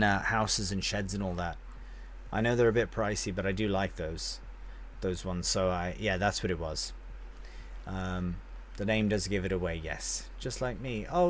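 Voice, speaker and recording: A man's voice: on the low side, a little hiss or hum. A man streaming a game live, chatting casually and rambling as he answers viewers with friendly banter.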